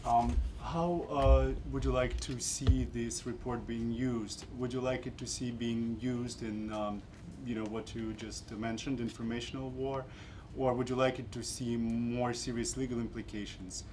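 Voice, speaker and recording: A man's voice: medium pitch, fairly even intonation, a little slow, a little mellow, slight background noise. Tentative man asking a question. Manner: tentative, with fillers. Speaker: audience questioner. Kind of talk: question from the floor.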